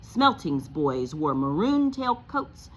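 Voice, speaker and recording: A woman teacher reading a children's novel aloud and explaining it to pupils in an expressive, instructional way. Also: deep voice, slow pace, husky, rough recording. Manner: expressive, asks questions and explains as to young pupils.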